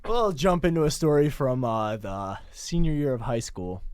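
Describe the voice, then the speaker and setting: A man playing a boastful immigrant telling rambling drunken stories on a comedic college radio bit, halting with many "uh"s. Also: mid-range voice, expressive, darkish tone, clean tone, no echo, studio quality, lightly acted. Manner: halting, rambling delivery full of "uh"s.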